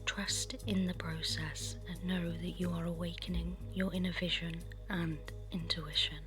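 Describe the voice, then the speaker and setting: A woman guiding a meditation in a soft, breathy, soothing half-whisper, reading as scripted narration. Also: on the low side, level tone, normal speed. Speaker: meditation guide. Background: meditation music.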